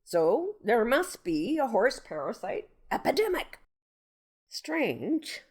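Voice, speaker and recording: Comic audio sketch: actress as dotty female seller, very slow musing, wildly animated high pitch. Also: breathy edge, full character voice. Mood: musing, suspicious.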